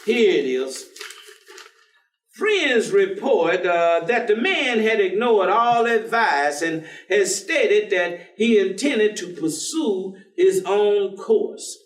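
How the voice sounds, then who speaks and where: An actor playing a folksy, devout, good-humored man in a staged play reading, speaking in loose vernacular and gently probing with questions. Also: high voice, expressive, slow pace. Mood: amused. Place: indoor theater stage. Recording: echoey theater, distant microphone.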